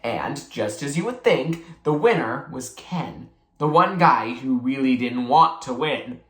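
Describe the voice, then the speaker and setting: Someone reading a kids novel out loud, really animated, bit of room echo. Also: medium pitch, unhurried. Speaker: book reader. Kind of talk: animated read-aloud of a children's novel. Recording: echoey room.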